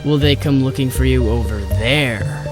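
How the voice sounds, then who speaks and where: A man's voice: mid-range voice, normal speed, over music, close to an everyday voice. A man playing a cocky, eager, adventurous boy in a storybook read-along.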